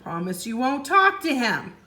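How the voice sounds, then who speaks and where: Woman acting out a bossy, high-pitched bookish boy. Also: very animated, a little slow, sharp tone, full character voice. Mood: exasperated. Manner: urgent, exasperated, commanding. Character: cautious, bossy, bookish young boy adventurer in storybook.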